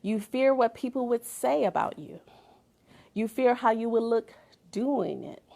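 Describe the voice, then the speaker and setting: A woman's voice: on the high side, lively intonation, a little slow, gravelly, dark tone. A middle-aged woman giving an emphatic inspirational talk, repeating phrases for effect and sometimes dropping to a whisper. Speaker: motivational speaker and author.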